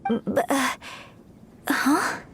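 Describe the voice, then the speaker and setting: An actress playing a polite, thoughtful young opera performer in a video game, with gentle, formal phrasing. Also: female voice, extremely slow, a little hiss or hum. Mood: hesitant, earnest. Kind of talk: video game cutscene acting.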